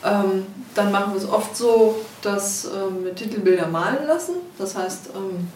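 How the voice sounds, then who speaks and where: A woman with a medium-pitched voice explains her work in a casual interview. She sits a little away from the microphone in an echoey room with faint noise. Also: normal speed, darkish tone, distant mic. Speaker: editor of a pulp novel series, interviewee. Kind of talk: casual spoken interview answers. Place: indoors, shared office. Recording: echoey room, off-mic, noisy.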